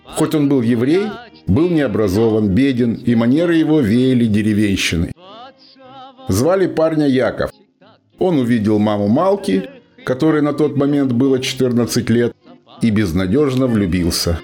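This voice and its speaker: A man narrating a scripted radio play in a dramatic storytelling manner, with deliberate pauses. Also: mid-range voice, lively intonation, mellow. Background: music.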